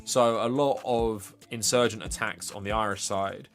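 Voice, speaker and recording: A man's voice: on the low side, fairly even intonation, normal speed. English man presenting, faint music behind. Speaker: livestream presenter. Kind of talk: informal political commentary over pictures.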